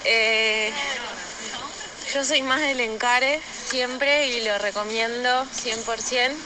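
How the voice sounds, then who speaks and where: An Argentine woman chats casually into her phone outdoors. Her voice is fairly high, with clear vehicle and street noise behind it. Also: steady tone, takes it slowly. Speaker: listener sending a voice message. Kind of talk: casual chat.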